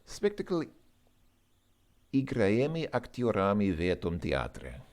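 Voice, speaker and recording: A man giving an informal language lesson, reading and translating aloud in a halting way as he thinks through each word. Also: on the low side, wildly swooping pitch, a little mellow. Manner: halting, thinking aloud, sounding out words slowly. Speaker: host working through a Russian lesson as a learner.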